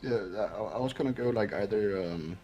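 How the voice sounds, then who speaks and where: A man chatting casually and haltingly as a player in a game playthrough. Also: on the low side, warm tone, soft background music. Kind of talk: casual chat.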